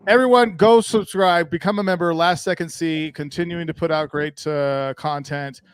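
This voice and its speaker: Man host, higher pitch, dry close sound, upbeat, plugs and questions. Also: fairly even intonation, moderate pace, smooth, dry recording. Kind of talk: livestream podcast hosting.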